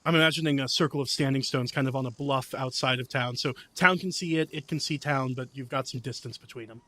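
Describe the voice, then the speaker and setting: A man's voice: mid-range voice, quick pace, sharp tone, no room sound. A man playing a tabletop role-playing game, casually describing his character and the scene. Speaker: player.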